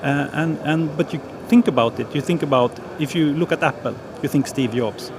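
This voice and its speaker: A man's voice: a little high, moderate pace, background noise. A man from Sweden speaking as a panelist on an online panel, plain and pragmatic, hesitating and repeating words.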